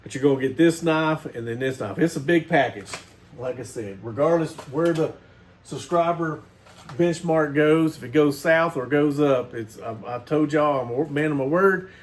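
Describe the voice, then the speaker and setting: A man's voice: on the high side, normal speed, a little hiss or hum. A man, hosting a casual knife video and rambling to his viewers with lots of fillers and restarts. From Missouri, USA. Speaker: knife channel host.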